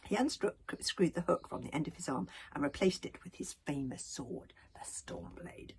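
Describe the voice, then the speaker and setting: A woman reading a children's story aloud with animated expression, now and then stumbling over words. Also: low voice, normal speed, in a whisper. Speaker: author reading own book.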